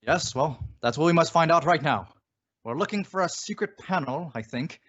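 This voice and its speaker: A man playing a bold, inquisitive gentleman detective in an old-style radio mystery, theatrical and self-assured. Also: fairly high pitch, lively intonation, moderate pace. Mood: curious, composed. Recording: dull lo-fi radio play recording.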